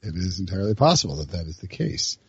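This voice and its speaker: A man's voice: deep voice, big pitch swings, fast, fairly bright tone, breathy edge, no echo, lo-fi sound. A man hosting a casual sports talk podcast, loose and chatty, with frequent fillers and laughter. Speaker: podcast host.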